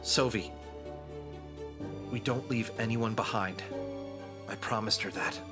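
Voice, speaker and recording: A man's voice: lowish voice, slow, over music. An actor playing an earnest, determined and loyal male fox adventurer in an audio drama. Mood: solemn, resolute.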